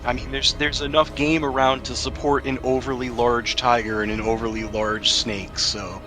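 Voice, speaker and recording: A man's voice: mid-range voice, little pitch movement, normal speed, over music. A man narrating a tabletop game as game master, explaining things in a conversational way.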